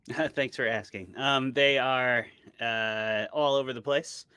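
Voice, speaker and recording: A man's voice: medium pitch, takes it slowly, bright, forceful tone, clean tone, no echo. A man being interviewed as an author, talking in a casual, explanatory and conversational way. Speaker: interviewed author, podcast co-host.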